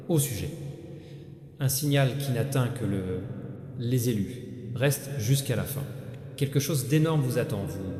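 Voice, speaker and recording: A man narrating a scripted inspirational spiritual message straight to the listener, solemn and halting, in short broken phrases. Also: medium pitch, dark tone. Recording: echoey hall with long reverb.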